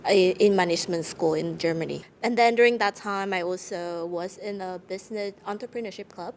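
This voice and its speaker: Young woman, Vietnamese accent, gravelly fry, mid pitch, casual interview, noisy background. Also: fairly quick. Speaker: PhD student guest.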